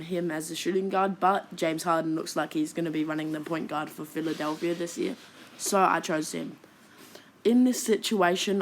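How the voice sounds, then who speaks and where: A woman hosting her own basketball channel, talking casually through a ranking while a sore throat makes her voice croaky. She hesitates often, restarting sentences and filling gaps with "uh". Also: low-pitched, slight background noise. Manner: hesitant, many restarts and fillers. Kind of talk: casual ranking commentary to camera.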